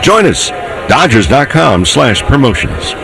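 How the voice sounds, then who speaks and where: A man's voice: mid-range voice, big pitch swings, measured pace, vocal fry. A man with an American accent working as a baseball radio broadcaster, giving commentary and reading promos.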